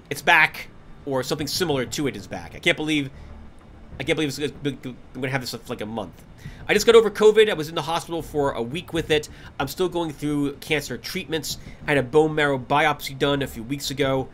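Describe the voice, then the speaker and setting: A man hosting a gaming channel, talking casually and candidly to viewers over gameplay, stuffy with a cold, rambling with restarts and soft laughs. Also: on the high side, a little fast, a little hiss or hum. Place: at home in front of his computer.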